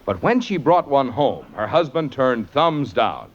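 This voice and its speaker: A man's voice: medium pitch, very animated, measured pace. A vintage radio announcer delivers the ad with persuasive, emphatic salesmanship. His voice leaps dramatically up and down, and the sound is muffled the way old broadcasts are. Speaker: commercial announcer.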